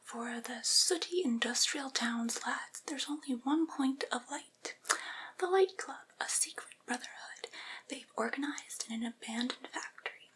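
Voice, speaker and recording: Woman doing a vampire's familiar asmr thing, all whispered, kinda high, soothing n gently teasing, devoted to her master. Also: unhurried, rough recording. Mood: hushed, intrigued. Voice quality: soft breathy whisper.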